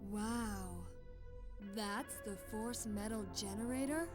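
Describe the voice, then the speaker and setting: Woman as a cocky, unhurried young thief. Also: medium pitch, lightly acted. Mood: playful. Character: cocky, easygoing young female thief in a video game. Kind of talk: video game voice acting. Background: game music.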